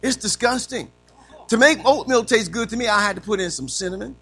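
A man teaching Sunday school in a folksy way, his voice a little raspy from allergies, dropping in amens often. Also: high-pitched, brisk, sharp tone, faint music behind. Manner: punctuates with frequent amens, calls to the class.

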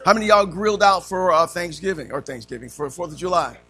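A man teaching Sunday school in a folksy way, his voice a little raspy from allergies, dropping in amens often. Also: high voice, expressive, fast talker, pushed and bright, soft background music. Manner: punctuates with frequent amens, calls to the class.

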